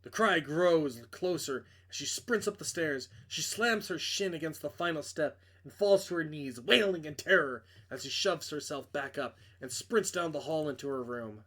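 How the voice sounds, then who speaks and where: A man's voice: a little high, normal speed, few pauses, a bit bright. A man hosting a game playthrough, reading a visual novel aloud and commenting casually. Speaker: game reviewer and host.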